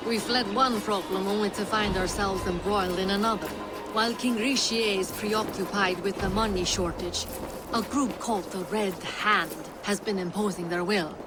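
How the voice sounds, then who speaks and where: A woman playing an earnest, loyal, steady Viking-era character in a dramatic video game. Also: mid-range voice, moderate pace, over loud music, close to an everyday voice. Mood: concerned.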